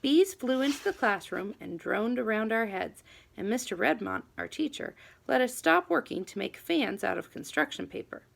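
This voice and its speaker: A woman narrating a children's book expressively, with animated, dramatic emphasis on key words. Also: a little high, moderate pace, creaky. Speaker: audiobook reader.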